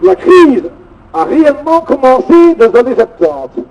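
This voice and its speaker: A man's voice: very high-pitched, sing-song, moderate pace, darkish tone, soft background music. A man with a Belgian French accent giving an emphatic, indignant political speech from notes at an outdoor gathering, with sardonic asides. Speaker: political activist speaker at a citizens' rally. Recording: muffled distant outdoor recording with faint traffic noise.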